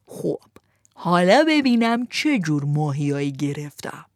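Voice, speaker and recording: A woman reading a storybook aloud, voicing a greedy green fisherman who is gloating and ogre-like, and who speaks slowly and mockingly. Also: medium pitch, sing-song, no echo, studio quality, lightly acted. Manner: slow, relishing, mocking.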